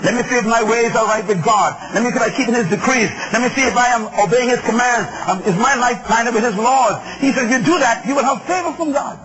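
A man's voice: very high-pitched, quick pace, few pauses, muffled recording. A man preaching to a congregation, emphatic and declamatory, in short repeated phrases with questions thrown out for response. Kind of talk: impassioned sermon to a congregation. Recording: very noisy live speech recording.

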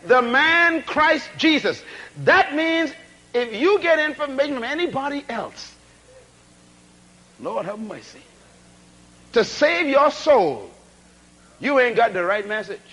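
A man with a very high, lively voice preaches a sermon, rising and falling in pitch as he hammers his points. He repeats key phrases, throws out rhetorical questions and calls for responses from the congregation. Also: slow, pauses often, rough recording. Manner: call-and-response, rhetorical questions, emphatic repetition; swings between shouted emphasis and low asides.

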